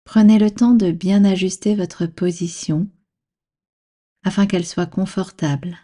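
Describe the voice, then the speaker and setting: A woman guiding a relaxation practice as a meditation instructor, speaking calmly and soothingly in measured instructions with long pauses. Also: mid-range voice. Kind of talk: guided yoga nidra relaxation.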